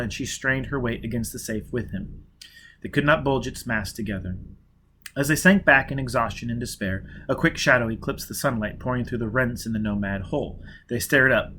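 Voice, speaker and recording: A man narrating a science fiction novel as an audiobook, with steady, dramatic pacing. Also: medium pitch, a little hiss or hum.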